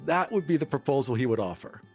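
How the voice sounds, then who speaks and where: A man with a husky voice bantering and narrating casually as a player in a tabletop game. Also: medium pitch, expressive, fast, faint music behind. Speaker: tabletop role-playing player.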